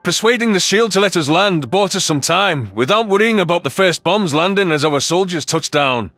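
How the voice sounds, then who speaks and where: A man as a high-voiced, thoughtful alien soldier narrator. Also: lively intonation, moderate pace, fairly bright tone, no room sound, faint music behind. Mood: reflective, serious. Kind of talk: scripted first-person sci-fi narration.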